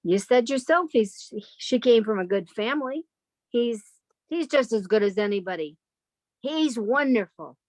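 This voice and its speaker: High, earnest, sheltered country spinster played by an actress. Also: female voice, animated, unhurried, a little mellow, dry recording. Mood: defensive. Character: sheltered, romantic, yearning country spinster of thirty-five. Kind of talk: old-time radio melodrama acting.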